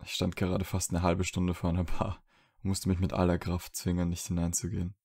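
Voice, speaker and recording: A man's voice: sits low, monotone, fairly quick, a little mellow, breathy edge, dry recording, studio quality. A man playing a troubled, dryly teasing young wizard in an audio drama. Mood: strained, earnest. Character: troubled young wizard, dry and teasing, trying to quit drinking. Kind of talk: fan fiction audio drama dialogue.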